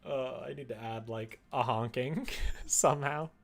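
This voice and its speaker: A man's voice: mid-range voice, measured pace, soft background music. A man streaming casually, talking to himself in a giggly, playful way as he works. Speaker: streamer working on a visual novel. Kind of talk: casual livestream chat, thinking aloud while working.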